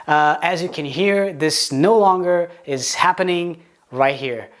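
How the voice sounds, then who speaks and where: A man whose first language is Portuguese, speaking English with a Portuguese accent, teaching pronunciation in a video lesson and demonstrating words slowly. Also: fairly high pitch. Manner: explains with examples, slow deliberate demonstration words, some stammered restarts.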